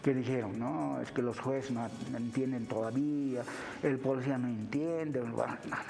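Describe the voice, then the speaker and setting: A Bolivian man speaks as a university lecturer in an interview, in a mid-pitched voice. He explains didactically and often tags his phrases with short questions. The sound has a long hall-like reverb with faint music underneath. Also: steady tone, normal speed, creaky, mellow. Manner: didactic, punctuates with tag questions. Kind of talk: explanatory television interview talk.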